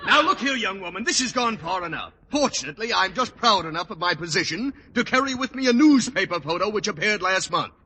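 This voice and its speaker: An actor playing a pompous, blustering middle-aged education official in a 1940s radio sitcom. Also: male voice, sits high, expressive, fairly quick, hardly any pauses. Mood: indignant. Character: pompous, easily indignant chubby state education official. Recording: old radio recording, faint noise.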